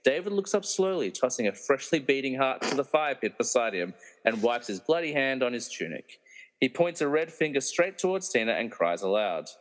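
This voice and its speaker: A man's voice: medium pitch, sing-song, moderate pace, lightly acted. A man playing a wry narrator in a comedy radio play, reading dramatic narration with mocking asides. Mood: suspenseful. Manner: wry, theatrical. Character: wry, meddling movie narrator who mocks the characters. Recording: reverberant hall-like echo.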